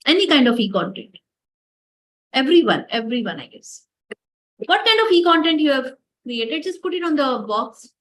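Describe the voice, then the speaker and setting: Lady teaching over a video call, high voice, keeps repeating herself to the class. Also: very animated, moderate pace, fairly bright tone. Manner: earnest, repeats words, addresses participants directly. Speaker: workshop lecturer. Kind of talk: online lecture.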